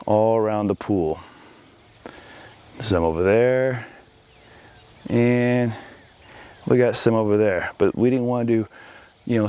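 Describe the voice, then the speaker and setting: A man's voice: on the low side, a little mellow, smooth. A man giving a casual, enthusiastic, salesy walk-through tour outdoors in a backyard, talking as an installer into a handheld camera. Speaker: home audio installer giving a project tour.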